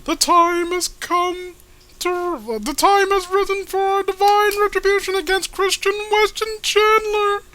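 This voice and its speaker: A man voicing a grandiose villain leader who rallies his brethren in pompous, drawn-out oratory for a comic read-aloud. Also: very high-pitched, fairly even intonation, bright, forceful tone, voice pushed up, exaggerated voice, low-quality recording.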